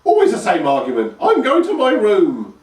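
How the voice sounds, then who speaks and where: A man voicing a cantankerous, toothless old peasant grandmother who screeches and shouts. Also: high voice, wildly swooping pitch, moderate pace, distant mic, voice pushed up. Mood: cranky. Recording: echoey room, voice from afar.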